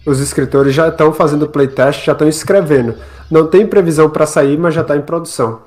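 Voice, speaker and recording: A man's voice: fairly high pitch, a little fast, a little mellow. A man co-hosting a live podcast, explaining things in casual conversation. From Salvador, Brazil. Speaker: podcast co-host.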